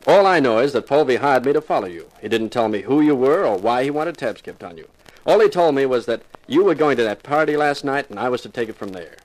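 An actor playing a sardonic, flirtatious hard-boiled private eye in 1950s radio drama style. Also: male voice, medium pitch, lively intonation, fast talker, lightly acted, low-quality recording. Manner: sardonic, flippant.

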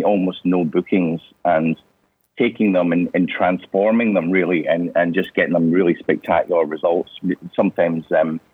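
A man's voice: deep voice, breathy edge, no room sound. A Scottish man speaking as an interview guest over a phone call, answering hesitantly with many restarts. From Scotland. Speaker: interview guest, business owner. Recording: tinny phone line.

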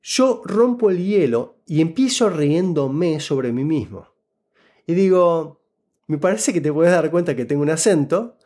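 A man from Buenos Aires with an Argentine Spanish accent, an interview guest telling a story and giving job-interview advice in an animated, explanatory way that keeps checking in with the listener. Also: fairly high pitch, measured pace. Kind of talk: casual conversational storytelling.

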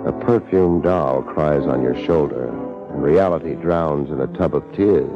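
Deep-voiced, wisecracking 1950s radio private eye. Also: male voice, unhurried. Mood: brooding, wry. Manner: wry, slangy hardboiled patter. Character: wisecracking, world-weary, lovestruck male private detective. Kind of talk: 1950s radio detective acting and narration. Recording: muffled old radio drama with music.

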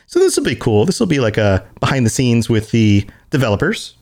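An American man co-hosts a podcast in a relaxed, chatty way. His voice swings widely in pitch and jumps into high, excited exclamations. He reads event listings aloud between casual remarks. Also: brisk. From United States. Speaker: podcast co-host.

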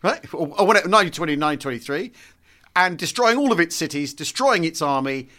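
Chatty man, slightly high voice. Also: fast talker. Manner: animated, repeats and restarts phrases. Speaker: podcast co-host. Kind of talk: casual conversational history chat.

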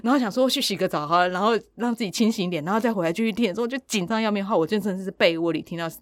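A woman podcast host with a Taiwanese Mandarin accent talks fast in a casual, storytelling style. Her medium-pitched voice is expressive and animated, repeating phrases for emphasis, and the sound is close and dry. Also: no room sound. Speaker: podcast host who works in audiobook production.

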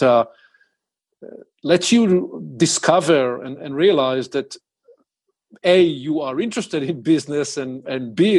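A man hosting an interview, putting questions in a deliberate, conversational way, phrase by phrase. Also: on the high side, a little mellow, slightly rough. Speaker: interviewer, host.

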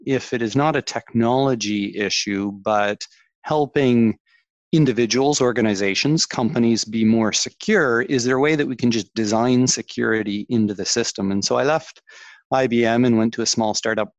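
A man's voice: medium pitch, moderate pace, no echo. A Canadian man, a tech executive, talking reflectively about his career as a guest on a podcast interview, with frequent restarts.

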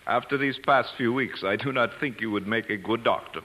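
An actor playing an exacting professor in a radio drama, with a soft, slightly blurred foreign accent and deliberate, didactic delivery. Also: male voice, medium pitch, lo-fi sound, a little hiss or hum, close to an everyday voice. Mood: measured, appraising. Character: exacting foreign-born professor of bacteriology, stern mentor. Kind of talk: 1940s radio acting. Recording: old 1940s radio broadcast with music.

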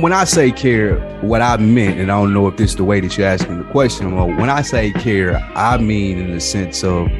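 A man co-hosting a podcast in casual debate, speaking bluntly with slang and swearing. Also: fairly low pitch. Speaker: podcast co-host.

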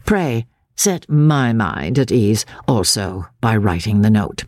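A woman voicing a courteous, insistent gentleman baronet in formal, persuasive speech for a dramatic audiobook. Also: a bass voice, lively intonation, takes it slowly, no room sound, clean studio sound, voice pushed down, exaggerated voice. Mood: politely insistent. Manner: formal, elaborately polite, persuasive.

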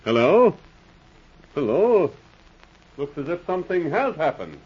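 An actor playing an easygoing, obliging frontier police marshal in a radio drama. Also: male voice, fairly high pitch, big pitch swings, measured pace, husky, exaggerated voice. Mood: folksy, alert. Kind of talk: 1940s radio drama acting. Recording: dull old radio recording.

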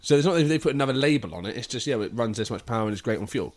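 A man's voice: medium pitch, lively intonation, racing. A man hosting a podcast, reading out a listener's email and trading jokey, sweary banter with his co-hosts. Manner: jokey, swears freely, laughs often. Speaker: podcast host.

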